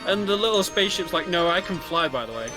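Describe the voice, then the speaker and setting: A man's voice: sits high, expressive, fast talker, over music, low-quality recording. A man giving casual game commentary as a co-commentator, chatting along.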